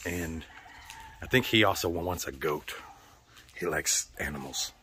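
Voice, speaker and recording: A man's voice: extremely low pitch, unhurried, sharp tone, slight background noise. An American man with a slightly sore throat, rambling casually and swearing often as he chats for a vlog. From United States. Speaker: vlogger. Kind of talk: casual chat.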